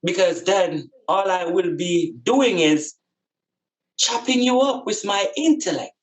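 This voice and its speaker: A man's voice: high voice, slow, low-quality recording. A man with a Jamaican accent giving a reflective spiritual talk in an emphatic, preaching manner, with repeated phrases and rhetorical questions.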